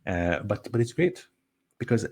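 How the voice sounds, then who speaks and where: Low-voiced Hungarian man presenting a webinar. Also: sing-song, unhurried, darkish tone. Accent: Hungarian with a slight French tinge. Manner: friendly, conversational, with frequent fillers and self-corrections. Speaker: cross-cultural coach and trainer.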